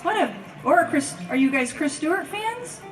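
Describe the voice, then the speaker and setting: A woman with a high voice introduces songs in casual, effusive stage banter. She sounds like a singer fronting her band at a live concert. The sound is distant, with prominent guitar picking underneath. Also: sing-song, normal speed.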